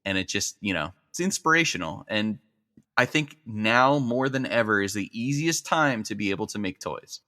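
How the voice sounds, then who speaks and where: Male podcast guest, conversational, lowish voice with a bright, punchy edge. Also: lively intonation, moderate pace. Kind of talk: casual podcast chat.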